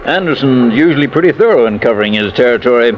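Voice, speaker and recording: Actor as brisk office colleague, lively pitch. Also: male voice, lightly acted. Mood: puzzled, impatient. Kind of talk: 1950s radio drama acting. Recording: muffled old radio recording, faint noise.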